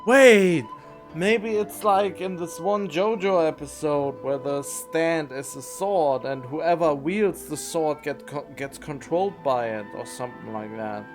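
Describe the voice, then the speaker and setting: A male streamer muttering and musing through a game, offhand and curious. His voice sits a little high, recorded close on a condenser mic. Game music plays faintly in the background. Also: a little slow. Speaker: gaming streamer. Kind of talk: casual gameplay commentary. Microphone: USB condenser mic.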